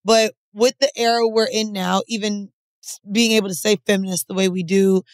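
A woman's voice: mid-range voice, level tone, fairly quick, no echo. A woman cohosting a podcast, sassy and emphatic with slangy casual talk. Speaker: podcast host.

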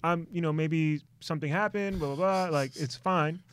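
A male comedian hosting a podcast, an animated, slangy storyteller who slips into quick voices. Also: on the high side, clean tone. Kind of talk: casual chat.

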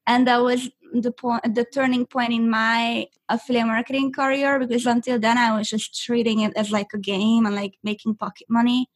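Hungarian-born woman, interview guest, fairly high voice, chatty with fillers. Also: level tone, normal speed, few pauses. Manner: chatty, many fillers and restarts. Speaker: guest affiliate marketer. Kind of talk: interview answers.

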